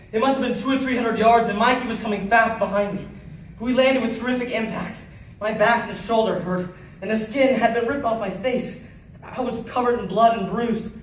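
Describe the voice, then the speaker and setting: Man acting a haunted soldier, very echoey recording. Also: very high voice. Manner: theatrical, intense, swinging from hushed to shouting. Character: haunted Navy SEAL survivor recounting a deadly battle. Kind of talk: dramatic first-person monologue. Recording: very echoey, distant, faint noise.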